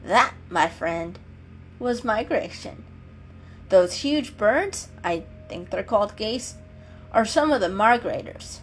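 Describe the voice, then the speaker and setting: A woman's voice: mid-range voice, expressive, slow pace, faint music behind. A woman voicing a friendly male robin in a storybook, explaining things in a chatty, emphatic way. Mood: friendly, knowing.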